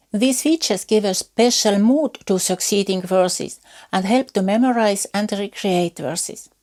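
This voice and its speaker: A woman folklore researcher speaking English. Her voice sits at a middle pitch. She delivers a carefully paced, scripted explanation, calm and methodical. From Estonia. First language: Estonian. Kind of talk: scripted educational talk.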